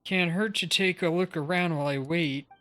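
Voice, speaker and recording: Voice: medium pitch, moderate pace, fairly bright tone. A game streamer giving casual live commentary and reading aloud.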